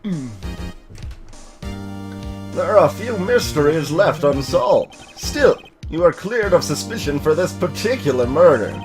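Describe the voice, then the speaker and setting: A man voicing a solemn elderly courtroom judge in a video game, with a formal, deliberate delivery. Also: on the high side, animated, over music.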